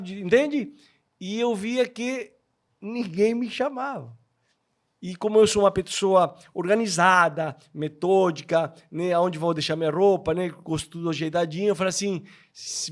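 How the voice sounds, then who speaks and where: Higher-voiced Argentine man telling stories. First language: Spanish. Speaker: podcast guest. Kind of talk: personal testimony storytelling.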